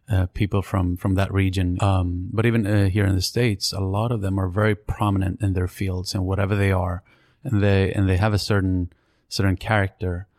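A man interviewing a guest, asking long, halting questions. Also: low voice, a little mellow, no room sound, clean studio sound.

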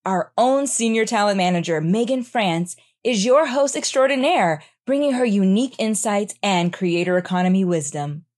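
A woman's voice: mid-range voice, moderate pace, hardly any pauses, darkish tone. A woman reading a scripted, upbeat introduction for a show. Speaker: show announcer.

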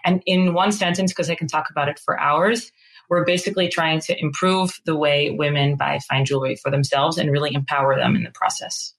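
A woman's voice: low voice, fairly even intonation, brisk, low-quality recording. A woman in her thirties from the Netherlands, a company co-founder chatting casually in an interview and using lots of fillers. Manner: chatty, fillers like "you know".

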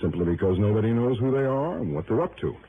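A man's voice: on the low side, sing-song, fast. An actor playing an earnest, worried, methodical male insurance official in a vintage radio drama, explaining things with emphasis. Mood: earnest, concerned. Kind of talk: 1950s-60s radio drama acting. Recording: tinny, noisy vintage radio recording.

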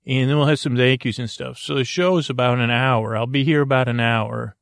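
Middle-aged male podcast host, gravelly voice, dry close sound, rambling and unhurried. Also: medium pitch, steady tone, no room sound. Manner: meandering, self-interrupting, soft-spoken. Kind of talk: rambling bedtime podcast intro.

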